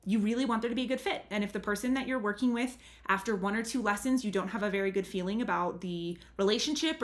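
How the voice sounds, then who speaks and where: An earnest language tutor from the United States talks casually to her viewers. Her voice sits at an everyday middle pitch, and her explanations are friendly and a little rambling. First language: English. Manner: chatty and earnest, leans on "really" and "super". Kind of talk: casual advice talk to camera.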